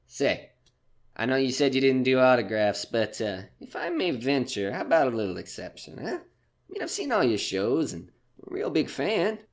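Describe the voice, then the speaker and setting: A man's voice: mid-range voice, very animated, normal speed. A man playing a falsely friendly, menacing stranger in an audio drama, mock-polite and sing-song. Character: menacing, falsely friendly male stranger, oily uninvited guest.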